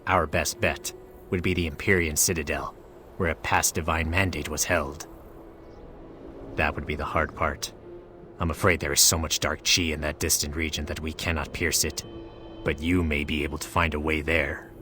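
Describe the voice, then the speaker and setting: Very deep, grave divine being. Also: male voice, level tone, music in the background. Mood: grave, earnest. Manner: measured, formal, grave. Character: solemn, regretful male divine being, grave and formal. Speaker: game character voice actor. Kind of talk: scripted game dialogue.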